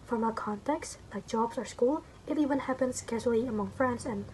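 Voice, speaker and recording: A woman's voice: on the high side, normal speed, a little mellow, slight background noise, poor audio. A young woman from Indonesia with a Sundanese accent, a university student reading her written assignment aloud with small stumbles and self-corrections. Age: young adult.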